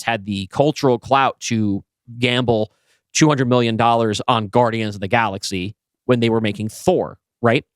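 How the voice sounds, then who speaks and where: Guy cohosting a podcast, super animated and emphatic, dry studio sound, just chatting. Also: mid-range voice, dry recording. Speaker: podcast co-host and writer. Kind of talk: casual podcast chat.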